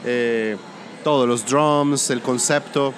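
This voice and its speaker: A man from Colombia with a Colombian-Venezuelan border accent, a music producer talking through his work in a relaxed interview, pausing and restarting his sentences. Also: mid-range voice, slight background noise. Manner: thoughtful, restarts often. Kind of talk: casual interview conversation.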